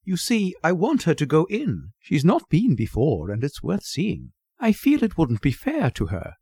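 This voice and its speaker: Man voicing a fluttery, high-pitched doting mother. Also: huge pitch swings, measured pace, mellow, slightly rough, dry recording, clean studio sound, full character voice. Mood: anxious coaxing. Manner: pleading, gushing, apologetic. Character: timid, fluttery, doting middle-aged society mother.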